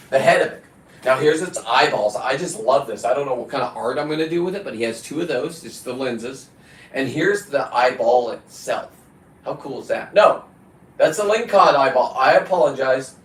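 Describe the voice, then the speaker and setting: A man hosting a casual livestream, talking in a halting, digressive way as he chats to someone off-screen and to his viewers. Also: fairly high pitch, a bit bright. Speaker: live stream host. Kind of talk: casual, rambling hands-on livestream chat. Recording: echoey room, distant from the mic.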